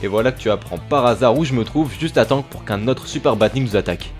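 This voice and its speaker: A woman plays a young male cartoon hedgehog hero in a comic dub. The voice is very low for a woman and boyish, with a casual, self-assured swagger. Faint music plays behind. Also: quick pace, a little mellow. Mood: easygoing. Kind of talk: French fan comic dub.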